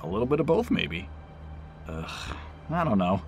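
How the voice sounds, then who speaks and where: A man's voice: fairly low pitch, sing-song, normal speed, darkish tone, faint music behind. A man playing a friendly, chatty train attendant in an audio drama, with a casual, teasing manner. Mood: reassuring. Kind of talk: naturalistic audio drama acting.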